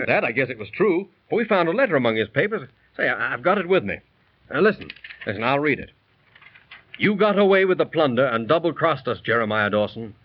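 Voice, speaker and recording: A man's voice: mid-range voice, very animated, brisk. An actor playing a breezy, wisecracking man in a 1930s radio drama, on a dull, noisy old recording. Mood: light, confiding. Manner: jaunty, wisecracking. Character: breezy, joking, sceptical man telling his tale.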